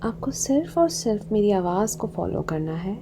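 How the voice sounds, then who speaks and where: A woman leading a guided meditation in a soothing, unhurried manner, leaving long pauses between short instructions. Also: mid-range voice, sing-song. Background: background music.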